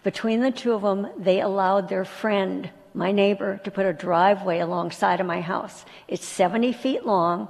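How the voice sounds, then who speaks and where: A woman's voice: medium pitch, fairly even intonation, moderate pace, creaky, few pauses, a little mellow. A woman resident giving public comment at a council meeting, with an aggrieved, complaining manner. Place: council chamber. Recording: long reverb in a council chamber.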